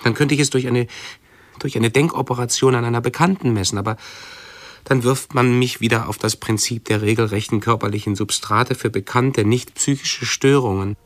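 An actor playing an anxious hypochondriac who broods aloud in a German radio play, pedantic, halting and often interrupting himself. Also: male voice, medium pitch, steady tone, no echo, lightly acted. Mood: agitated pondering. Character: anxious hypochondriac man obsessively rehearsing pleas to doctors.

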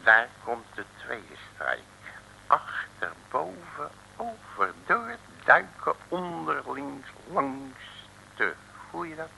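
An actor playing a brash, bluffing, jovial detective with chummy, boastful patter in a mid-century comic radio serial. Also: male voice, medium pitch, big pitch swings, slow pace, creaky, pushed and bright, full character voice, poor audio. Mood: cocky, coaxing. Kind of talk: 1950s-60s comic radio serial acting. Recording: hissy vintage radio recording.

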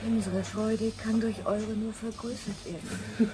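A woman's voice: medium pitch, flat delivery, unhurried, noisy background, close to an everyday voice. An actress playing a blissful, servile new mother, exalted and effusive, in a 1970s radio play. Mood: submissive joy.

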